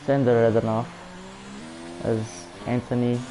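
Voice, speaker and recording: A man's voice: medium pitch, little pitch movement, measured pace, background noise. A man from Portugal with a Portuguese accent, casually commentating a live online race and chatting with viewers. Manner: non-native English, loose and chatty, talks to viewers. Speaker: sim racing commentator. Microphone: home streaming mic.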